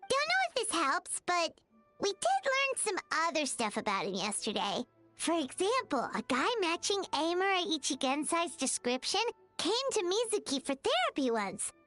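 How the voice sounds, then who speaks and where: A tiny game fairy with a very high, piercingly bright, childlike squeak. She is bubbly and bossy, exclaiming and chattering with big swoops in pitch. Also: sing-song, moderate pace, faint music behind, heavily acted. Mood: curious. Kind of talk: animated video game voice acting.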